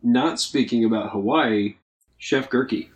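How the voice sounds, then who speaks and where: A young man chatting casually, rambling with many ums and uhs and trailing off quietly. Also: medium pitch, takes it slowly. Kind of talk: casual podcast chat.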